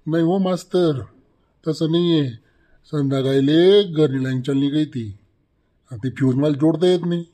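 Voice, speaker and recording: A man's voice: a little high, moderate pace, warm tone, natural voice. A man voicing a small, wordy, excuse-making schoolboy in a comedy cartoon, with a Khandeshi Ahirani accent.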